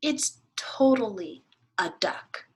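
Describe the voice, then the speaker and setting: A woman voicing an excited, insistent storybook character arguing a point in an emphatic, exclamatory way. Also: mid-range voice, slow pace, husky, heavily acted. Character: excited, insistent arguer who sees a duck.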